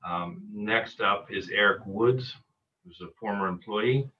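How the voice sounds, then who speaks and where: A man presides over a committee hearing, introducing the next speakers with hesitant pauses. His voice is very low. It comes through a dull, distant mic. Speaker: committee chair. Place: hearing room.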